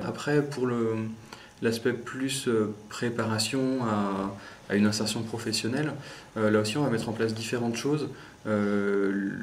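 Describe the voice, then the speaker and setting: A man explaining his organisation's work in an interview, speaking in a careful, hesitant, measured way. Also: fairly low pitch. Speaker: youth organisation representative. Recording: roomy echo, faint background noise.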